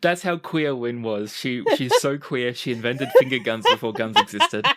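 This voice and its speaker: A game master narrating a tabletop roleplaying game in short, dramatic, unhurried storytelling phrases. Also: mid-range voice, big pitch swings, fairly bright tone, a little hiss or hum.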